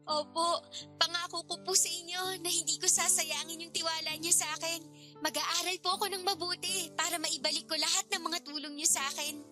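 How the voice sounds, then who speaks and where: A woman's voice: very high voice, flat delivery, brisk, music in the background. A woman playing a grateful, hardworking young niece in a radio drama, polite and earnest, with a Filipino accent.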